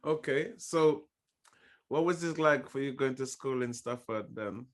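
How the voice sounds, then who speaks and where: A man's voice: medium pitch, unhurried. A man hosting a podcast, effusive and loose, with lots of fillers as he talks. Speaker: podcast host. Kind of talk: casual interview chat.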